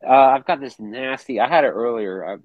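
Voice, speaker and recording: A man's voice: medium pitch, brisk, no echo, narrow, tinny audio. A married man co-hosting a live stream, speaking in a laid-back, rambling way. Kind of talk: casual group chat.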